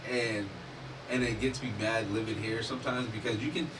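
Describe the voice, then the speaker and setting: A man, talking in a casual discussion, animated and emphatic, swearing freely. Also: on the low side, normal speed. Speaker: podcast host. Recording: distant mic with noticeable background noise.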